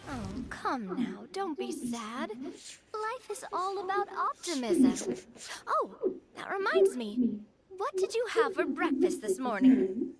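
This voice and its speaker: A woman's voice: sits high, very animated, slow pace, over a noisy crowd, exaggerated voice. A woman voicing a cheerful, optimistic young woman game character who talks in a bubbly, chatty way. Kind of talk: video game voice acting.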